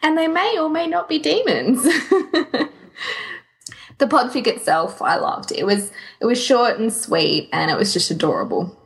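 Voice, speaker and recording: A woman co-hosting a podcast in casual chat, informal and giggly, quick to react as she gives recommendations. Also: fairly high pitch, lively intonation, gravelly. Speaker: podcast co-host.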